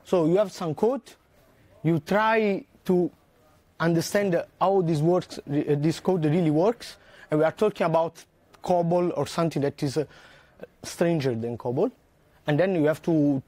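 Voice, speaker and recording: A man, a researcher giving a conference talk in a lecture hall, earnest and full of "uh" pauses. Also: on the high side, mellow. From southern Italy. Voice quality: frequent "uh" fillers and restarts, earnest.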